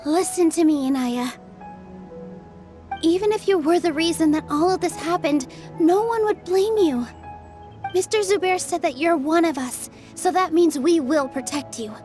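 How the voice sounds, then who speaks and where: An actress playing a gentle, loyal young female dancer in an anime game dub, speaking earnestly and reassuringly. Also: extremely high pitch, normal speed, soft background music. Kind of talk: English-dubbed anime game voice acting.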